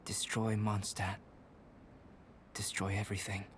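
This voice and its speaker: A man voicing a calm, contemplative young alchemist in a fantasy video game, speaking in measured, scholarly, formal phrases. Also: fairly low pitch, a little hiss or hum. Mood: pensive, grave. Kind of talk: video game voice acting, scripted dialogue.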